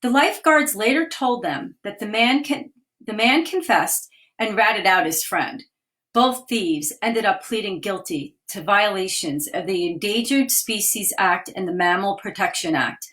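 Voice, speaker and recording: A woman, a children's author, reading her picture book aloud in a livestream with clear, expressive delivery and chatty asides. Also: mid-range voice, measured pace. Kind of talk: children's storytime read-aloud. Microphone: webcam or phone for a livestream.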